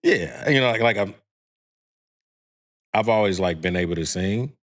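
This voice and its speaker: A man in his thirties from with a deep, heavy baritone, talking in a laid-back, rambling way with lots of fillers as a podcast guest. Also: expressive, normal speed, fairly bright tone. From Houston, Texas. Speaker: guest singer. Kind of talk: casual podcast chat.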